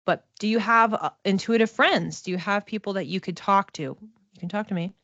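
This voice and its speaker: A woman's voice: mid-range voice, normal speed, fairly bright tone, no room sound, muffled recording. A woman giving an informal spiritual card reading to one listener in a reassuring, conversational manner. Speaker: psychic oracle card reader and mentor.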